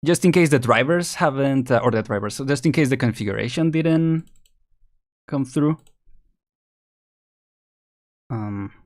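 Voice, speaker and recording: A man chatting casually and rambling as he thinks out loud on a live game stream. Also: mid-range voice.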